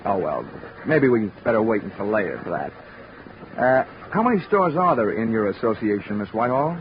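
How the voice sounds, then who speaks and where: An actor playing a wry, flirtatious insurance investigator with deadpan delivery in 1940s radio detective drama. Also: male voice, lowish voice, fairly quick, a little hiss or hum. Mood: flirtatious, bantering. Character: wisecracking flirtatious male freelance insurance investigator. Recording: dull vintage radio recording with music behind.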